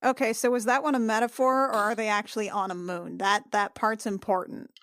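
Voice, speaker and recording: A woman's voice: mid-range voice, steady tone, a little fast, a bit bright. A woman in improvised comedy banter, asking questions with mock bafflement. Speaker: book club podcast performer.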